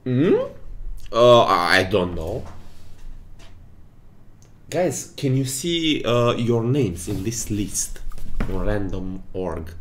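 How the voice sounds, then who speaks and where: A man's voice: fairly low pitch, expressive, extremely slow, pauses often, fairly bright tone. Giggly man with a non-native English lilt. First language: not English. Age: 20s. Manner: giggly, reads chat aloud, frequent laughs. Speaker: game developer hosting a stream. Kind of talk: casual livestream chat. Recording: roomy echo.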